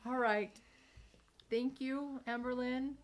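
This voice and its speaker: A woman's voice: high-pitched, fairly even intonation, slow. A woman playing a tabletop role-playing game, talking in a casual, chatty style.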